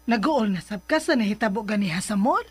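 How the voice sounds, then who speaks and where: A woman playing a caring, coaxing middle-aged mother who reassures gently in a radio drama. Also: mid-range voice, lively intonation, fairly quick, husky, no room sound. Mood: caring, probing.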